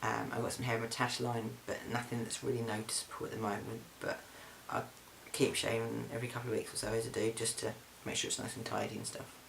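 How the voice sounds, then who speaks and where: A young man records a casual video diary at home, speaking in a mid-pitched, fairly monotone voice. He talks in a loose, halting way, filling gaps with ums and restarting his sentences. A faint hiss sits under the recording. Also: gravelly, rough recording. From England. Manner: chatty, frequent ums and restarts. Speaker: vlogger. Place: flat, indoors.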